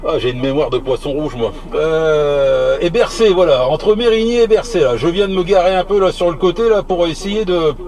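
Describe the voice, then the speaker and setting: A man's voice: on the high side, moderate pace, hardly any pauses, darkish tone. A man chatting casually on CB radio, hesitant and often repeating his phrases. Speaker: CB radio operator, channel regular. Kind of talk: casual French CB radio chat. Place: in or beside a vehicle, outdoor countryside. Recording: noisy roadside recording with vehicle hum.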